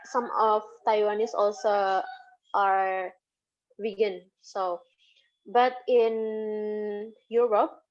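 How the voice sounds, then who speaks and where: A woman's voice: medium pitch, a little mellow. A woman lecturer with an Indonesian accent answering interview questions in English, hesitant and full of fillers and restarts. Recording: dull lo-fi recording.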